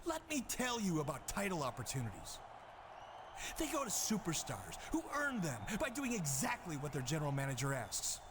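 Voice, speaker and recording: A smug wrestling boss for a game cutscene. His high voice swoops with emphatic condescension. There is clear noise behind him. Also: huge pitch swings, normal speed. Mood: stern, condescending. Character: smug, bullying male wrestling general manager. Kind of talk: scripted video game cutscene acting.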